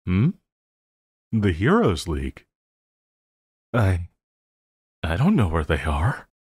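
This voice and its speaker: A man playing an obsessive, lovesick supervillain in an intimate audio roleplay, halting and crooning, by turns sinister and pleading. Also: deep voice, huge pitch swings, darkish tone, breathy edge, dry recording, clean studio sound, exaggerated voice. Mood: evasive. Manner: halting, crooning, breaking into laughter.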